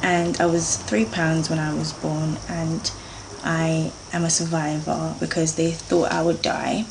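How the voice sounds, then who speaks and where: Woman, 30s, lowish gravelly voice, chatty vlog talk, noisy background. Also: little pitch movement, unhurried. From Jamaica. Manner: chatty and earnest, with frequent fillers. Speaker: beauty vlogger. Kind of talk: casual talk to camera, going through a list.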